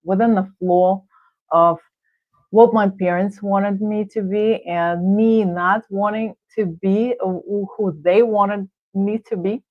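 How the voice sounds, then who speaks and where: A middle-aged woman with a Russian accent, a guest coach answering thoughtfully with frequent pauses and fillers. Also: medium pitch, fairly even intonation, no room sound. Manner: thoughtful, halting with many fillers. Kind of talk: casual interview answers. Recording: tinny phone-like line.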